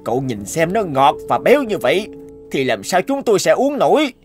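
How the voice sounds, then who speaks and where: An indignant old cartoon traditionalist. His voice is high and very animated, full of grumpy complaint, with music behind. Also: fairly quick. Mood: disapproving. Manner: complaining, scolding. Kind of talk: Vietnamese cartoon dub.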